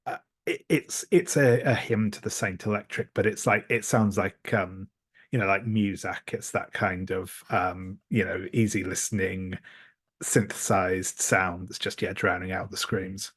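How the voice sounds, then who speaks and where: Low-voiced man, husky and halting. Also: fairly even intonation, a bit bright, no room sound, studio quality. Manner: halting, searching for words. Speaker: tabletop game participant. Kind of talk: improvised tabletop roleplay storytelling.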